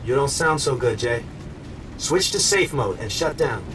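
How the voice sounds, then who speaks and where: Behind loud music, a male actor plays an armored colonel in an action game, sounding a little far from the mic. He is urgent and determined, giving orders in clipped military radio talk. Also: mid-range voice, animated, measured pace, close to an everyday voice. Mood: urgent, wary. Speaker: soldier. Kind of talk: video game action acting.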